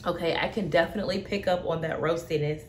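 A woman's voice: on the low side, quick pace, a little hiss or hum. Young woman casually tasting coffee at home. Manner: relaxed, thinking aloud with many fillers. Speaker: coffee vlogger. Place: home kitchen, indoors.

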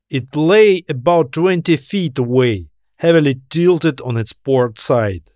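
A man reading a scripted science fiction story as its narrator, with a measured, deliberate delivery. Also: mid-range voice, darkish tone, dry recording, clean studio sound.

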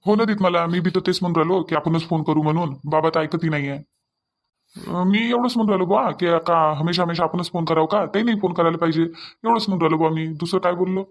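A man's voice: a little high, fast talker, dry recording, lightly acted. A man playing a mild, deferential village family man in a cartoon, hesitant and repetitive, with a Varhadi Marathi accent. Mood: mildly protesting. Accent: Varhadi (Vidarbha) Marathi. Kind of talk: amateur Marathi cartoon family comedy acting.

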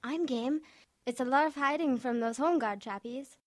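A cheeky schoolboy of the war years, voiced by a woman. He is eager and high-pitched, heard dry and close as in a radio play. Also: moderate pace, no room sound, natural voice. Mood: game, cheeky. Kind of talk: radio drama acting.

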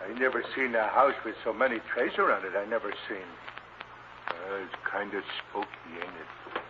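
An actor playing a nervous working-class cab driver in an old radio drama, folksy and repeating himself. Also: male voice, fairly low pitch, moderate pace, natural voice. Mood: uneasy. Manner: folksy, repeats his own phrases. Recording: dull, noisy old radio recording.

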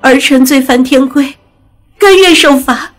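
A woman's voice: high voice, a little slow. A woman playing a young, proud and dutiful celestial princess in an audio drama, speaking with solemn emotion. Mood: resolute, submissive.

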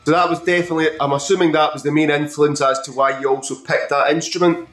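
A male show host asks his guest friendly questions, often stopping and restarting mid-sentence. His voice sits a little higher than usual for a man and has a roomy echo from a video call. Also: steady tone, a bit bright, faint music behind. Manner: friendly, halting questions with fillers. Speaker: podcast host. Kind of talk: interview hosting.

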